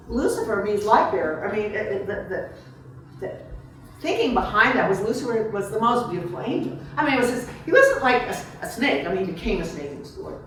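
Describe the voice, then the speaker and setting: Woman author at a reception Q&A, very lively intonation, informal and wandering delivery, strongly reverberant room. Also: medium pitch, slight background noise. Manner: animated, informal thinking aloud in broken phrases. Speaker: author answering audience questions. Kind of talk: casual, digressive question-and-answer talk at a book reception. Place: reception room before a small audience. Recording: echoey room, picked up from far off.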